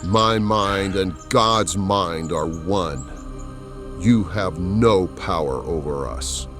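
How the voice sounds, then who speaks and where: A male actor plays a zealous, haughty cardinal, the villain of an audio drama. He speaks extremely slowly in a deep voice, pompous and imperious. Music plays behind him. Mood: triumphant.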